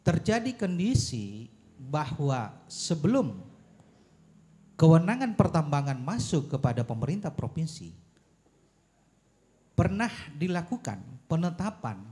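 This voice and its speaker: A man speaking as a panelist at a public forum, arguing a policy point in deliberate, emphatic phrases with rhetorical questions. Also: fairly high pitch, mellow. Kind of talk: argumentative forum speech on policy.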